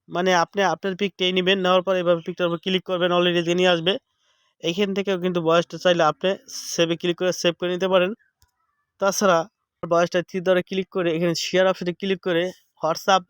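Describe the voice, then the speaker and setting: A man guides viewers step by step as a tutorial host. His voice is high and clear, recorded dry with no room sound. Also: no echo.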